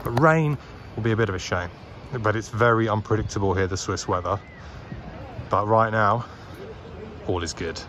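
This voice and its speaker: A man's voice: fairly low pitch, moderate pace, pauses often. A man, chatting enthusiastically as he films himself at lakes and while driving. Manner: chatty, enthusiastic, many fillers and restarts. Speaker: travel and car vlogger. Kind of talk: casual vlog narration. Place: outdoors by lakes and in a car. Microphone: handheld camera at arm's length. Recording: loud outdoor and car noise.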